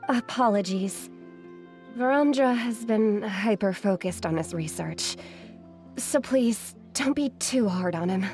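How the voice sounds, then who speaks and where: A woman's voice: medium pitch, slow, a little mellow, soft background music. A woman playing a patient, apologetic young female scholar in a video game, courteous and formal. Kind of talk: video game character acting.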